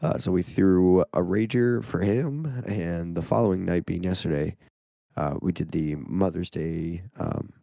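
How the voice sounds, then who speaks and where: A man's voice: deep voice, measured pace, vocal fry, mellow, no room sound, clean studio sound. A man from Canada co-hosting a gaming podcast who is losing his voice, easygoing and laughing between phrases. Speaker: podcast co-host. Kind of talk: casual gaming podcast chat.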